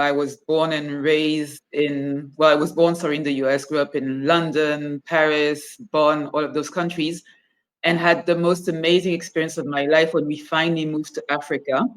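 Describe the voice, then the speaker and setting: A woman's voice: sits low, fairly even intonation, normal speed. A woman from Cameroon in her forties, a fashion brand founder talking reflectively about her life in an interview. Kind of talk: interview conversation.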